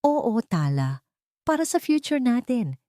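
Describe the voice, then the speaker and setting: A woman voicing a calm, wealthy young man who speaks in a measured, quiet way. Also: on the high side, dry recording, studio quality, voice pushed up.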